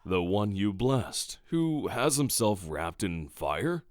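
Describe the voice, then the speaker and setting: A man plays an aloof god full of dry disdain. His voice is fairly low and he takes his words slowly, sardonic throughout, in fantasy audio drama style. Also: big pitch swings, darkish tone, dry recording. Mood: dry, questioning. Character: aloof, disdainful male deity, dryly sardonic.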